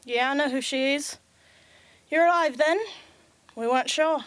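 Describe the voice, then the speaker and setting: A woman's voice: high voice, normal speed, fairly bright tone, dry recording. A woman playing a tough, dryly sarcastic rebel field commander in an amateur radio drama. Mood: dry, sardonic. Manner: dry, sarcastic.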